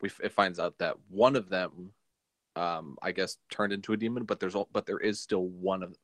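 A man co-hosting a casual film discussion, halting and often restarting his sentences. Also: low voice, fairly even intonation, a bit bright, no room sound. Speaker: podcast co-host.

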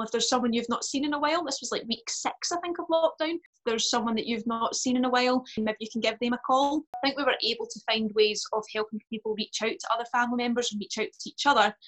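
A woman's voice: medium pitch, a bit bright, low-quality recording. A woman who performs for children, chatting casually and effusively on a podcast.

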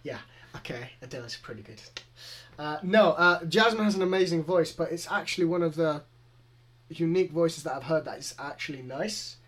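A man's voice: on the high side, animated, brisk, fairly bright tone. A young man with a Greek accent, co-hosting a music podcast in casual, rambling chat. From Greece. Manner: chatty, rambling, frequent laughs. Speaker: podcast co-host.

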